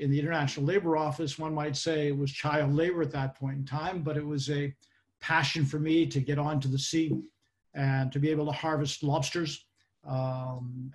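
A man in his sixties with a Boston accent, a guest recalling his life in a relaxed interview, talking in a measured way with frequent ums and restarts. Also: fairly high pitch. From Boston, United States. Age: 60s. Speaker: guest, occupational safety expert. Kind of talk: casual interview.

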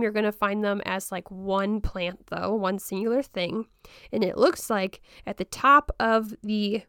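A young woman hosting a casual podcast about houseplants. She talks in a chatty, rambling way with ums and light laughs, and her voice is still hoarse from the flu. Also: mid-range voice, fairly bright tone, no echo, studio quality. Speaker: podcast host.

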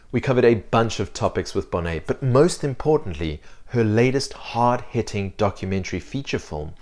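A man's voice: lowish voice, a little mellow. A man hosting a podcast, reading a scripted introduction in an upbeat way. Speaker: podcast host.

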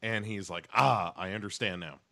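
A man's voice: lowish voice, moderate pace, vocal fry, pushed and bright. A young man co-hosting a podcast, recapping an episode in a laid-back, self-deprecating way. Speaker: podcast co-host. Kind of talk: casual chat, recapping episodes.

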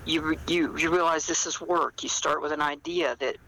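An older woman chatting as an interview guest, reflective and anecdotal, with hesitant pauses. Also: low-pitched, fairly even intonation, bright, forceful tone, slight background noise, low-quality recording. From small-town United States. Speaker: guest, novelist. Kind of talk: casual interview chat.

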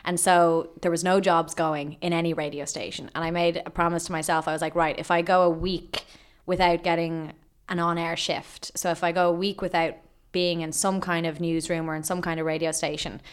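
Irish woman in her thirties, guest on a chat podcast. Her voice sits a bit low for a woman, and she tells her stories in a rambling, filler-heavy way. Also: flat delivery, a little fast, clean tone. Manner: chatty storyteller, lots of fillers, laughs often. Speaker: guest, radio journalist.

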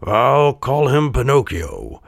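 A man's voice: medium pitch, sing-song, slow, gravelly, no room sound, clean studio sound, pitched above the performer's own voice, exaggerated voice. A man voicing a kindly, fretful carpenter father in a storybook, fond and earnest. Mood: musing.

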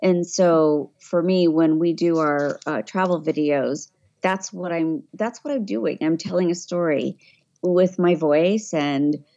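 A woman's voice: sits low, slow, dark tone, highs cut off. A middle-aged woman, chatting as an interview guest and telling her story conversationally. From Tennessee, USA. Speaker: interview guest, voiceover artist.

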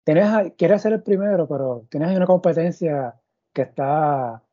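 A man hosts a sports interview, circling his questions with fillers and restarts. His voice is very dark and husky. The recording is dry and a little muffled. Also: fairly high pitch, moderate pace, no echo. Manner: thinks aloud with many fillers and restarts. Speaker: podcast host. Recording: dull, muffled audio.

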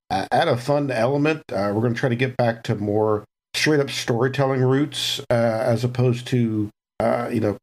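A man with a mid-pitched voice talks on a roleplaying podcast. He speaks haltingly and pads his sentences with filler words. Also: vocal fry, darkish tone. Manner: hesitant, lots of filler words. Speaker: player and fellow podcaster. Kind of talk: casual podcast chat.

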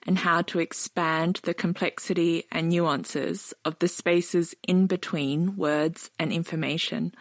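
A woman's voice: fairly low pitch, dry recording. A woman hosting an interview podcast in an articulate, thoughtful, reflective way. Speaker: podcast host.

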